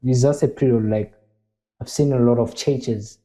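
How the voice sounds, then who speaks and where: A man who paints talks through an interview in a halting, earnest way, circling back to repeat his words. His voice is deep-set and very dark in tone, with a middle pitch. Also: fairly even intonation, rough recording. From Zambia. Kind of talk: casual interview talk.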